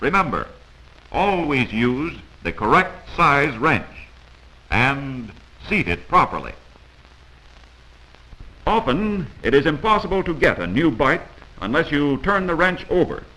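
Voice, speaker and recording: Bright-voiced 1940s American training film narrator, male. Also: on the high side, very animated, measured pace, low-quality recording. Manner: didactic, admonishing. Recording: old 1940s film soundtrack.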